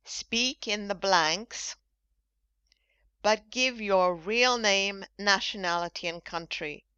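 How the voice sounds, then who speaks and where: Woman teacher talking super slow and crisp, bright tone, kinda dull dry recording. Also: medium pitch, no echo. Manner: slow, carefully enunciated speech for learners. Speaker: English teacher and podcast host. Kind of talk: scripted language lesson.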